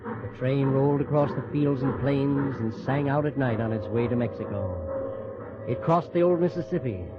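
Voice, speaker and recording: Male radio drama narrator over loud music. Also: medium pitch, moderate pace. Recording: dull old-time radio recording.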